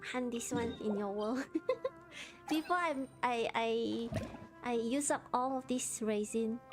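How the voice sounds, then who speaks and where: Woman, Malaysian accent, high-pitched, playful casual game stream chat, music behind. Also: slow pace. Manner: playful, repeats words, uses local particles. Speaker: game livestream host. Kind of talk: casual livestream chat while gaming.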